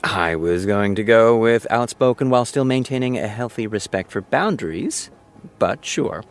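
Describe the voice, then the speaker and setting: A man's voice: fairly low pitch, lively intonation, moderate pace, faint music behind. A man playing a self-important TV writer, wordy, hesitant and defensive, in a naturalistic audio drama. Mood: dry.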